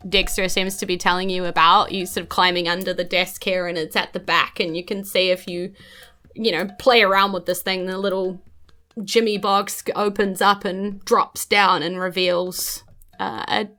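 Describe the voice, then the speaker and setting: A woman's voice: on the low side, little pitch movement, normal speed. A woman with a New Zealand accent narrating as game master in a loose, conversational way. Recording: faint music under the voice. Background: soft background music.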